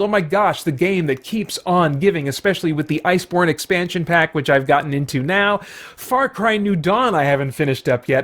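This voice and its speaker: A man who hosts a gaming channel, talking casually and enthusiastically in a playful, rambling, conversational way. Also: fairly high pitch. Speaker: video game streamer and channel host. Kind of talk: casual enthusiastic vlog talk about games.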